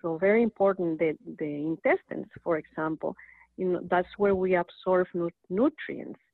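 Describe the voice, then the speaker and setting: A woman's voice: on the low side, normal speed, no room sound. A woman from Rica, a guest talking earnestly and eagerly in a casual chat, often restarting her words. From Costa Rica. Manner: earnest, animated, with frequent restarts. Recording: tinny phone line, dry.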